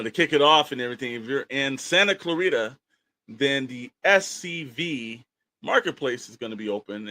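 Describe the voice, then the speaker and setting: A man with an American accent chats as a podcast co-host, with a mid-pitched voice recorded very dry. He talks informally and leans on repeated phrases to drive his points home. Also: pushed and bright, no echo. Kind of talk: casual podcast chat.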